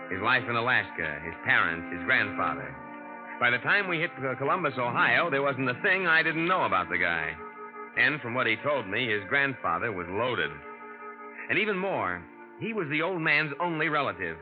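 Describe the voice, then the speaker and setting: A man's voice: mid-range voice, lively intonation, moderate pace, natural voice. A man playing a streetwise young drifter in an old radio drama, telling his story in a wry, tough-guy way. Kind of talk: 1940s radio drama acting. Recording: tinny old radio broadcast with music.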